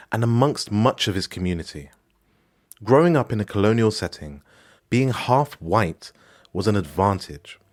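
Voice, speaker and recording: A man from Morocco hosting a podcast, giving scripted documentary narration in an emphatic, personal storytelling manner. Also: on the low side. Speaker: podcast host.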